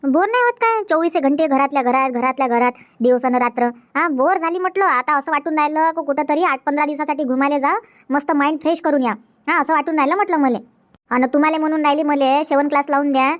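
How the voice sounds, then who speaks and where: A woman's voice: sits high, fast, no echo, lightly acted. A woman voicing a chatty young newlywed wife in a comedy cartoon, who nags and repeats herself. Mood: pleading. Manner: repetitive, nagging, talks in a rush. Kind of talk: Marathi comedy cartoon dub.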